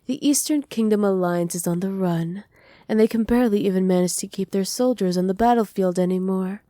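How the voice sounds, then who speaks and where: A woman voicing a doting, possessive evil witch in an intimate ASMR roleplay, speaking softly and teasingly, often slipping into breathy whispers. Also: mid-range voice, fairly quick, no echo, studio quality, close to an everyday voice. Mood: smug, satisfied. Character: doting, possessive evil witch pampering her captive pet. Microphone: close microphone, dry.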